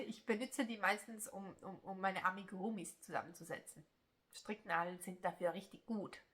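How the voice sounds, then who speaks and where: Chatty Swiss German woman, bright voice. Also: mid-range voice, moderate pace. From German-speaking Switzerland. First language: Italian. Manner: chatty, rambling, many filler sounds and restarts. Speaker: crochet YouTuber. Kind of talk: casual vlog chat.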